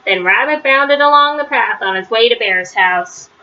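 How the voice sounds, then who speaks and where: A woman's voice: a little high, lively intonation, moderate pace. A woman reading a children's story aloud as a storyteller. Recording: slightly dull, faintly noisy recording.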